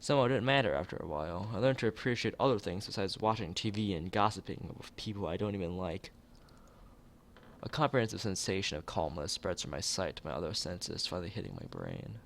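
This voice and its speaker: A man reading a story game aloud in an expressive narrating style, occasionally stumbling over words. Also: deep voice, gravelly, a little hiss or hum. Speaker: let's player reading a visual novel aloud.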